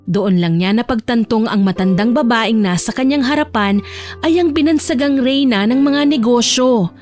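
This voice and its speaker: Babaeng tagapagsalaysay, medyo mataas ang boses. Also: female voice, on the high side, normal speed, a little mellow, soft background music. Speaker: narrator. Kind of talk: scripted audio drama narration.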